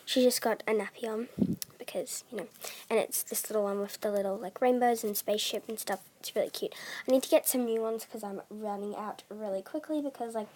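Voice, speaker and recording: A teenage girl chatting casually in a vlog, soft-spoken, with many fillers, and often trailing off into a whisper. She has a bad cough. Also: medium pitch. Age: teens. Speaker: doll collector vlogger. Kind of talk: casual chat.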